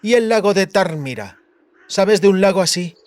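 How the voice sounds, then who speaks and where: Man voicing a raised-pitch, calm young woman. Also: no echo. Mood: inquiring. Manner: soft-spoken, deliberate. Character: scarred young woman traveler, quiet, calm and brooding.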